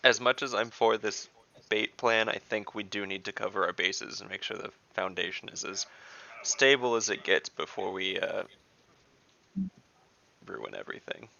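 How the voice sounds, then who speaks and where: Man, tabletop player, hesitant thinking aloud, bright edge, dull call audio. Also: on the low side, normal speed, creaky, slight background noise. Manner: thinking aloud, hesitant with ums, dropping to a mutter. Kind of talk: casual tabletop game chat.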